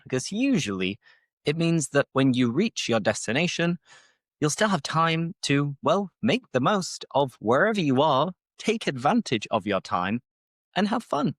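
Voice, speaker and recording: A man's voice: medium pitch, fairly bright tone, no room sound, studio quality. A British man hosting an English-learning podcast, chatting casually off the top of his head and explaining phrases as he goes. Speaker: English teacher and podcast host. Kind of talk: casual unscripted teaching monologue.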